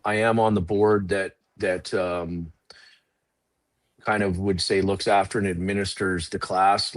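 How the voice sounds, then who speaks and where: A man answering interview questions at a measured pace, pausing with frequent fillers. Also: low voice, dry recording. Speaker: guest, series sponsor.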